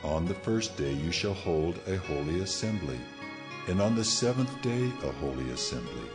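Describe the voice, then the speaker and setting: A man's voice: low voice, animated, moderate pace, music in the background. A man playing God in a dramatized scripture reading, solemn and authoritative as he declares commands. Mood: solemn, commanding. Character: God speaking laws, solemn commanding male deity.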